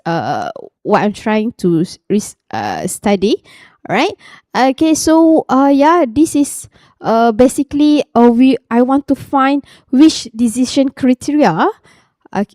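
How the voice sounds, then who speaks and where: A Malaysian-accented woman researcher giving a conference talk, halting, full of fillers, restarts and repeated "okay". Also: fairly high pitch, warm tone, no echo.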